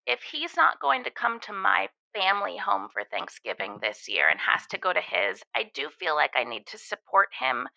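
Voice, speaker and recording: A cheerfully wicked wife calling a comedy radio show. Her voice is heavily creaky yet bright, with lively swings of pitch and a matter-of-fact glee. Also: normal speed, hardly any pauses, no room sound, full character voice, rough recording. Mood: earnest, mischievous. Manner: gleefully matter-of-fact about wicked things. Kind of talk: improvised comedy radio call-in.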